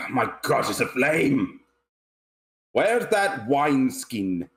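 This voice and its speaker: Man playing a creaky, dramatic wounded ruler. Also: mid-range voice, very animated, very slow, a bit bright, full character voice. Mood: pained. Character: wounded male ruler, dramatic, desperate for wine. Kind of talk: screenplay table read.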